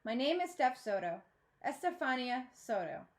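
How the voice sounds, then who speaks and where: A woman voicing an earnest, nervous young girl in a storybook, speaking deliberately and with emphasis. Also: sits high, very animated. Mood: nervous, polite.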